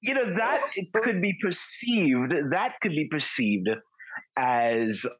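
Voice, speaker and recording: Halting man, husky and dry. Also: a little high, very animated, a bit bright, no room sound, rough recording. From Trinidad. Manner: halting, repeats words while searching for phrasing. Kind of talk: casual chat.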